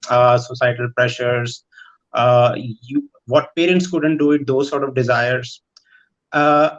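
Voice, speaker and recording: A man giving unscripted answers as a parent panelist, recorded with dull, lo-fi sound. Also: fairly high pitch.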